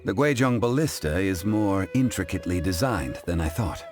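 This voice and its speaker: A man playing a composed, scholarly gentleman in a video game, speaking formally and courteously in measured phrases. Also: low-pitched, animated. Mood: thoughtful. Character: composed, scholarly, dignified gentleman of ancient wisdom. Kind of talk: English game voice acting. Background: background music.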